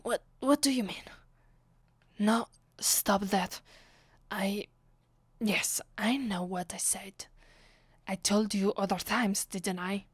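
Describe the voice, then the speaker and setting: A woman with an Italian accent playing a commanding, vengeful vampire in an ASMR roleplay, her voice soft and breathy, often sinking to a whisper. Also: medium pitch, fairly even intonation, no echo. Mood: flustered, affectionate. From Italy. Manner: slow, deliberate, imperious. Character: commanding, vengeful female vampire, secretly affectionate. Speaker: ASMR voice actress.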